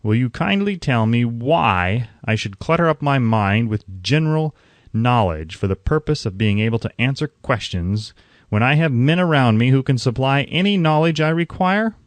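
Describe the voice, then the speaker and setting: A man's voice: mid-range voice, expressive, normal speed, no room sound, lightly acted. A man voicing a self-assured, exasperated industrialist in an audiobook, speaking pointedly and emphatically. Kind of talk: acted audiobook quotation.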